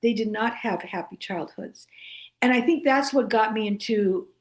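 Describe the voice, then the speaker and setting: A woman whose first language is Greek, a guest author speaking thoughtfully, with pauses and fillers. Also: on the high side. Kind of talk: casual conversational interview.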